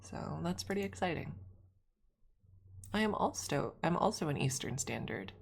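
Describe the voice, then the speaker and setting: Low-voiced, gravelly woman streamer. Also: lively intonation, normal speed, slight background noise. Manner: rambling, with frequent restarts. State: a little snuffly. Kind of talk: casual stream chat.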